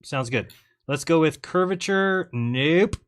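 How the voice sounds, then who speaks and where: A man's voice: fairly high pitch, expressive, slow pace. A man hosting a live call-in show, chatting casually and joking with callers.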